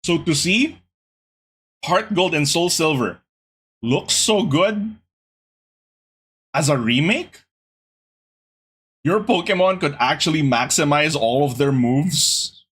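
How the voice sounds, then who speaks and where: A young man hosting a casual gaming podcast, talking to his chat in an animated, exasperated way. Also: a little high, takes it slowly, long pauses, husky. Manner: animated, exasperated ranting with laughs. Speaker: podcast host.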